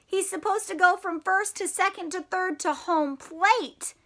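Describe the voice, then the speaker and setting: A woman teacher reading a story aloud to children in an animated, encouraging way, often asking them questions. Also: very high voice, a little slow.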